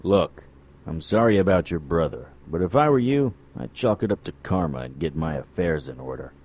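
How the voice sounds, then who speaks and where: Low-voiced, sardonic hard-boiled detective. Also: male voice, big pitch swings, moderate pace, a little mellow, slightly rough, exaggerated voice. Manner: dry, wry, world-weary. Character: jaded, sardonic male hard-boiled occult investigator. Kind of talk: noir audio drama acting with first-person narration.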